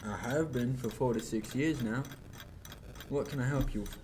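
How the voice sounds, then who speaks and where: A man's voice: medium pitch, normal speed, a little mellow, slight background noise, lightly acted. Young man playing a fussy, hesitant clock shopkeeper, ticking behind. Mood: polite, absentminded. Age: young adult. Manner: polite, hesitant, deferential. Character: courteous, fussy middle-aged male clockmaker and shopkeeper. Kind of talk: 1940s radio acting.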